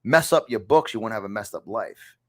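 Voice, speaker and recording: A man's voice: on the low side, animated, a little fast. A man in his fifties with a New York accent, giving a motivational success lesson to camera from a small home studio, emphatic and repeating phrases for effect. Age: 50s. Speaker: success coach.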